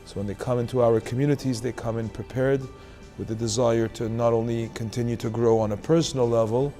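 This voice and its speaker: A man's voice: mid-range voice, fairly even intonation, a little fast, mellow. A man speaking in articulate, formal phrases in a community video testimonial. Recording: music playing behind the voice.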